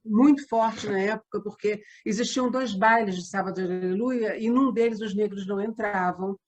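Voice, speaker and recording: A woman with a Brazilian Portuguese accent, an interview guest explaining conversationally, with halting digressions. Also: mid-range voice, darkish tone. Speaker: interview guest, theatre company member. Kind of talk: conversational storytelling.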